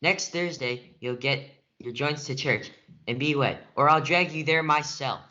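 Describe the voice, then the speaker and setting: A domineering nobleman and father with a short temper, acted by a young man in a stage-play reading. His voice is bright and forceful, on a slightly dull recording. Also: on the high side, unhurried. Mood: angry.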